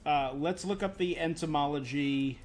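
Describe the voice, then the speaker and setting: A man's voice: fairly high pitch, moderate pace, a little mellow. A man livestreaming a game with casual commentary on its lore, thinking aloud as he goes.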